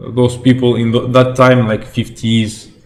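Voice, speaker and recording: Slow, halting Algerian man on a stream. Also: mid-range voice, little pitch movement. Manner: halting non-native English, searching for words. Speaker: livestream host. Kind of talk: casual livestream chat with viewers.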